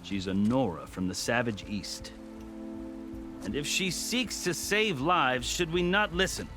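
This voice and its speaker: A man playing a proud, measured former army commander turned envoy in a video game, speaking in formal, deliberate phrases. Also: mid-range voice, lively intonation, natural voice. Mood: commanding. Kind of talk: video game dramatic acting. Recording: background music under the voice.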